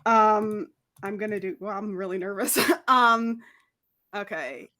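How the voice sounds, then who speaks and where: Female tabletop player, medium-pitched, casual conversational delivery. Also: takes it slowly, sharp tone. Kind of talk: casual game-table chat.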